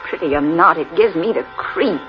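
A woman's voice: fairly low pitch, lively intonation, normal speed, natural voice. A woman playing a plucky but spooked lady adventurer in 1940s radio horror, plain-spoken and matter-of-fact. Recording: muffled old radio recording, music behind.

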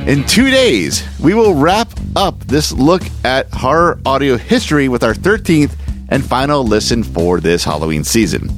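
A man's voice: mid-range voice, very animated, moderate pace. A man hosting a podcast, delivering an outro over guitar music. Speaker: podcast host.

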